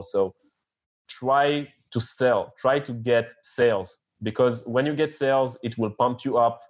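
A man whose first language is French talks casually as a podcast guest. He gives practical advice in an earnest, steady way, with hesitant fillers and restarts while he searches for words. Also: mid-range voice. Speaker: podcast guest, online store founder. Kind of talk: casual interview talk.

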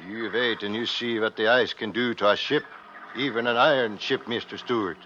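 An actor playing a gruff, cautious northern seaman who speaks simple broken English in a radio drama. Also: medium pitch, fairly even intonation, moderate pace, pushed and bright, close to an everyday voice. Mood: wry, plain. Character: gruff, cautious male northern outpost seaman and ice guide. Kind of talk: old-time radio drama acting. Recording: muffled, hissy vintage radio recording.

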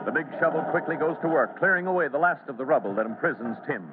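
A man with a fairly high voice narrates a vintage radio serial. His delivery is dramatic and earnest, heard through a muffled, narrow old recording. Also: animated, fast, very noisy. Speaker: announcer and narrator.

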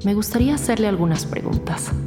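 Woman acting lady cop detective, businesslike, probing, podcast crime drama, loud music underneath. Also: mid-range voice, animated, fast talker, lightly acted. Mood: polite, businesslike. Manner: businesslike, questioning. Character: determined, probing female police detective. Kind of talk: scripted audio drama dialogue. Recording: loud music bed behind voice.

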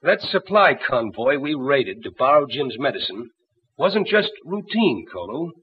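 Wisecracking slangy wartime tough guy, very animated delivery, muffled vintage radio sound. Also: mid-range voice, unhurried. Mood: emphatic, explaining. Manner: wry, slangy. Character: brash, slangy American tough-guy guerrilla sidekick. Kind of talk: 1940s radio adventure acting. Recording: muffled, hissy old radio broadcast.

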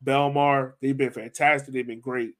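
A man with a medium-pitched voice hosts a sports podcast. He talks informally, as in a relaxed chat about the team. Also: normal speed. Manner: informal, conversational. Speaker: podcast host. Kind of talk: casual sports discussion.

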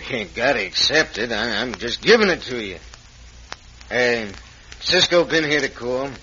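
An actor playing a scheming crooked mine owner in a radio western, with a gruff, folksy American drawl. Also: male voice, medium pitch, animated, normal speed, sharp tone. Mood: falsely friendly. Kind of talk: old-time radio western acting. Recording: muffled, noisy vintage radio recording.

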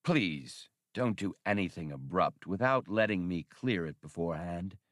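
A man's voice: deep voice, animated, moderate pace, a bit bright, no echo, studio quality. A man voicing a suave, condescending gunman villain with polite, mocking formality, in an audiobook. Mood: coolly threatening.

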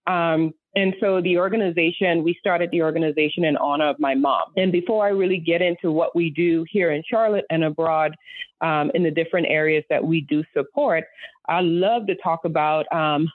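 A woman's voice: sits low, normal speed, dry recording. A woman from the Bahamas, a guest telling her life story in a podcast interview, earnest and reflective, often restarting her sentences. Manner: earnest, reflective, frequent restarts and soft laughs. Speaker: guest, nonprofit founder. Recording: tinny phone-like line, dry.

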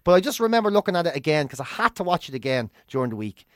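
Animated middle-aged Irish man, higher-pitched voice. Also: very fast, dry recording, studio quality. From Dublin, Ireland. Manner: informal, swearing, repeats phrases for emphasis. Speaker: co-host of a talk show. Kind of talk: casual comic storytelling chat.

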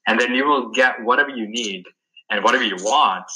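A man's voice: mid-range voice, a little fast, sharp tone, low-quality recording. A man from Sri Lanka answering interview questions as a wellness guest, talking earnestly and stressing key words.

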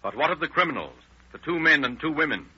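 An actor as a formal, moralizing announcer narrating an old radio crime drama. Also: male voice, a little high, very animated, quick pace, breathy edge, heavily acted. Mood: solemn, formal. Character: solemn male crime-show announcer. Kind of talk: solemn 1930s radio scripted narration. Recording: noisy, muffled old radio broadcast.

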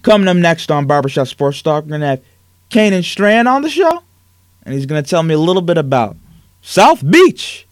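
A man's voice: fairly high pitch, very animated, normal speed, dry recording, studio quality. A man hosting a sports talk show, ranting emphatically with rhetorical questions, then chatting with a guest. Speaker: sports talk radio host.

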